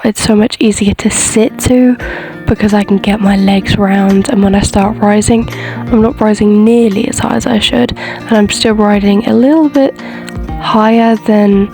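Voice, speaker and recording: A woman's voice: medium pitch, darkish tone. A woman giving a casual, explanatory voiceover as a rider reviewing her own technique in a self-critical way. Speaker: horse rider narrating own lesson footage.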